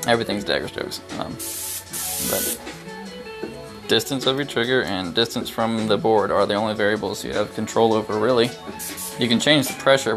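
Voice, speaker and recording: A young man casually explaining airbrush technique while painting in a small brick-walled studio, with relaxed ums along the way. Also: fairly low pitch. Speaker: airbrush artist. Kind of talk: casual live-stream tutorial while painting. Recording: music playing under the voice. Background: music playing, airbrush hiss.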